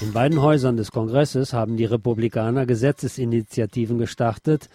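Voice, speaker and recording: A man's voice: medium pitch, fairly even intonation, brisk, mellow, no room sound. A man presenting on radio, reading a written report aloud.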